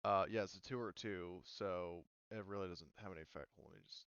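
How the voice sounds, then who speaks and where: Man, game master, smooth clear voice, casual sweary narration, dry slightly dull audio. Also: sits low, a little fast, fairly bright tone, no room sound. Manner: informal, swearing.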